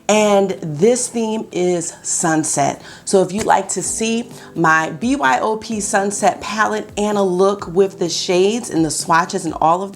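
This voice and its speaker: Woman in her 30s, upbeat beauty vlogger, slightly scratchy morning voice, mid pitch, faint music behind. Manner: chatty, upbeat, addresses viewers as y'all. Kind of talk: casual beauty vlog talk to camera. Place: bedroom at home, indoors.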